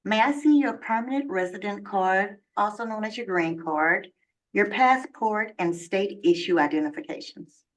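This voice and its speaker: A woman's voice: mid-range voice, natural voice. Woman playing a formal immigration officer. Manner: formal, measured, procedural. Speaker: interviewing officer. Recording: instructional video played from a distance.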